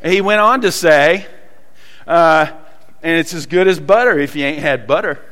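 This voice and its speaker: A man's voice: on the high side, a bit bright. Southern man preaching in a hall. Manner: folksy storytelling with many pauses and fillers. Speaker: pastor. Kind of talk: sermon. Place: church hall.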